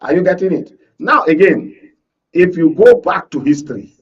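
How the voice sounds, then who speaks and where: A man's voice: on the high side, animated, normal speed, husky. A Nigerian man speaking as an analyst in a political panel discussion, emphatic and punctuating his points with rhetorical questions. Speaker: panel guest, media analyst. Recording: distant mic, dull lo-fi sound.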